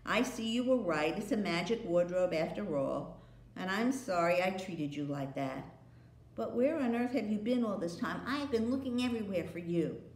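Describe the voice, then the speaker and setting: Woman reading a pleading storybook schoolboy, higher and eager, roomy echo. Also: expressive, normal speed, darkish tone, close to an everyday voice. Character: greedy sulky schoolboy, eager and whiny.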